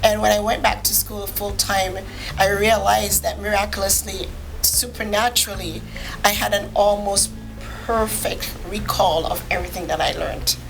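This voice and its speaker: A woman from Jamaica speaks as an interview guest in a medium-pitched voice. Her storytelling is conversational, measured and matter-of-fact. Music is clearly audible behind her. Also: steady tone, poor audio. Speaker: podcast guest, physician.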